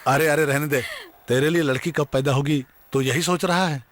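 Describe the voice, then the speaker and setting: A man's voice: fairly high pitch, fairly even intonation, moderate pace, a little hiss or hum, close to an everyday voice. An actor playing a genial retired schoolteacher father in his sixties in dubbed film dialogue. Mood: grumbling. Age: 60s.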